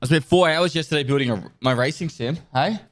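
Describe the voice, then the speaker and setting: Congested, relaxed male streamer. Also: fairly high pitch, fairly quick. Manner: relaxed, rambling, swears casually. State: congested nose from a cold. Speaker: live streamer. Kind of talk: casual live-stream chat, thanking subscribers.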